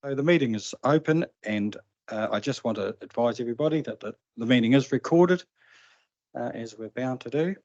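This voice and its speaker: A man's voice: medium pitch, fairly quick, darkish tone, no room sound. New Zealand man chairing a meeting. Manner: plain, informal, with restarts. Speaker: committee chair. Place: council chamber. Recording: slightly dull, dry council meeting recording.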